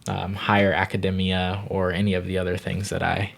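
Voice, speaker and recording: Man, 30s, from Barbados; very low, even delivery, calm and reflective interview guest. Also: gravelly. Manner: unhurried, thoughtful, frequent "you know". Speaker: yoga teacher and wellness guest.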